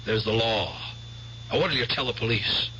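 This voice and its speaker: An actor playing a cautious, reasonable male war veteran in a 1970s radio drama, pleading in a quiet voice. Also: lowish voice, normal speed. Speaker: former law student, war veteran. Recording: dull old radio broadcast with hiss.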